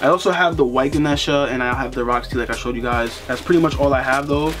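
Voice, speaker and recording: A young man in a casual unboxing vlog, talking in a relaxed, chatty way with lots of fillers, seated in a small room in front of the camera. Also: mid-range voice, fast, soft background music. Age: young adult. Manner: laid-back, chatty, lots of "you know" fillers. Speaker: sneaker and streetwear vlogger. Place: bedroom with sneaker boxes, indoors.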